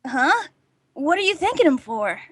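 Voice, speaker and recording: An eager, cheeky boy sidekick voiced by a woman in a radio play. The voice is extremely high and swings about in lively leaps. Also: moderate pace. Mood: earnest, puzzled. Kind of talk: amateur old-time radio drama acting.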